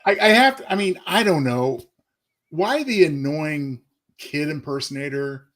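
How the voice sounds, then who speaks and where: A man's voice: a little high, a little slow, a bit bright. A man co-hosting in casual chat, with a wry, mock-exasperated way of talking. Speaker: radio show co-host.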